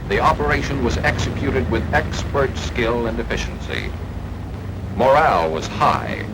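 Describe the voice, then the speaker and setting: American man, animated 1940s wartime film narrator. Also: mid-range voice, a little slow. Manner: formal, declamatory, emphatic. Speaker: newsreel-style documentary narrator. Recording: noisy archival 1940s film soundtrack.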